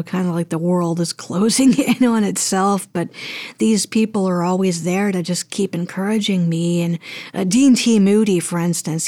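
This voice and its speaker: A woman's voice: on the low side, darkish tone, dry recording. Rambling woman in a casual interview, dry sound. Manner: rambling, hesitant, thinking aloud. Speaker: guest, audio drama producer and voice actor.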